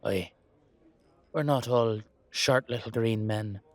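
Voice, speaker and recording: A man's voice: mid-range voice, slow, dry recording, close to an everyday voice. A man voicing a wry, blunt leprechaun with an Irish accent, dry and colloquial, with a hint of menace. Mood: teasing.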